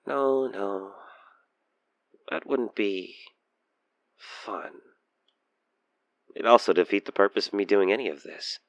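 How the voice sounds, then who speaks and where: A man's voice: sits low, vocal fry, no room sound, full character voice. A man playing an obsessed, taunting stalker killer in an intimate audio roleplay, theatrical and teasing as he slips between murmurs and whispers. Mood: mocking, impatient. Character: obsessed male stalker killer, playfully menacing, infatuated, taunting.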